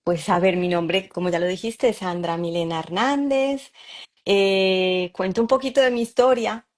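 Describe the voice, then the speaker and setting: A woman's voice: medium pitch, moderate pace. A Colombian woman in her forties, a psychologist guest, telling her story in an easy, laughing way. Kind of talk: conversational interview.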